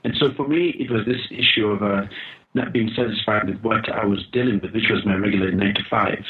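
A man from Uganda speaks earnestly as an interview guest, pausing and restarting as he tells his story. His voice sits a little low. The sound is muffled and lo-fi, as if coming over a call line. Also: fairly even intonation. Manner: earnest, pauses with frequent uh fillers and restarts. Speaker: guest, founder of a charity. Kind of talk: podcast interview, reflective storytelling. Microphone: phone or call line.

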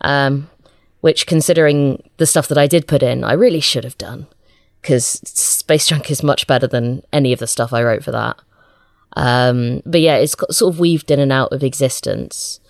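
A woman's voice: extremely low pitch, moderate pace, creaky, darkish tone, no room sound, clean studio sound. A woman chatting casually as an interview guest, a writer with a hesitant, self-deprecating manner.

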